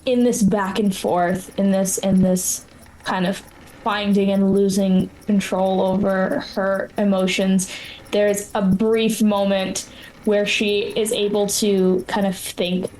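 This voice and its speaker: Woman narrating in an even tone. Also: medium pitch, slow, a little hiss or hum, rough recording. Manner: searching, rephrasing as she thinks aloud. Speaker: tabletop game player. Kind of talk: improvised narration of her character's inner state.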